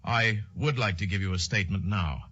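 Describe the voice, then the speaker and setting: An actor playing an earnest, strained investor, polite and halting, in mid-century American radio style. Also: male voice, low voice, pushed and bright. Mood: controlled, apologetic. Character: earnest, strained male investor, ruined and betrayed. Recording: dull, lo-fi old radio recording with music.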